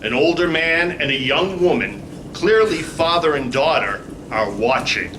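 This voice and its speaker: A man's voice: on the high side, big pitch swings, measured pace, noisy background. A man announcing and narrating in old-time radio serial style, melodramatic and declamatory. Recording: echoey live convention stage.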